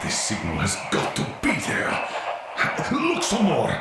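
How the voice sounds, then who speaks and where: Gravelly, echoing military commander. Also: male voice, mid-range voice, huge pitch swings, a little slow, very noisy, heavily acted. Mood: urgent, desperate. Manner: emphatic, commanding. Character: hard, driven military commander protecting his people. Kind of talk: dramatic video game acting. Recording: very echoey, reverberant room sound.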